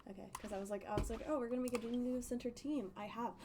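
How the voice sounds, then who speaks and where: A woman's voice: on the high side, steady tone, racing, background noise. A woman chatting casually on a game livestream in a rambling, giggly, slightly nervous way. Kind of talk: casual game stream chat.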